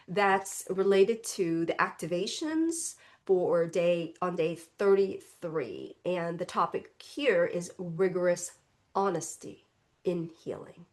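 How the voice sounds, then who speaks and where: A woman reverend giving a contemplative Sunday talk, her voice congested as she recovers from illness, speaking gently and reflectively and often adding "right?". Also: fairly low pitch, animated, takes it slowly. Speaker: reverend and spiritual teacher.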